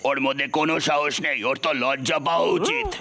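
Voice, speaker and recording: দাম্ভিক কার্টুন কুংফু মাস্টার. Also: male voice, on the high side, wildly swooping pitch, fast talker, slight background noise, heavily acted. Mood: boastful. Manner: bragging, pompous. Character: boastful male animal sword-wielding kung fu master. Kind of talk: dubbed cartoon acting. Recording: loud music behind the voice.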